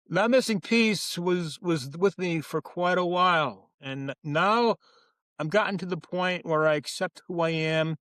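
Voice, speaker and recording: A man's voice: fairly high pitch, a bit bright, no echo. A man, a journalist, talking earnestly and reflectively in a conversational interview, often restarting his sentences.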